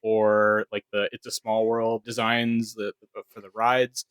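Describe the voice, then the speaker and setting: A man's voice: on the low side, flat delivery, unhurried, fairly bright tone, clean tone, no echo. A man co-hosting a podcast, explaining things informally and in detail in a casual discussion. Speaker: podcast co-host and producer.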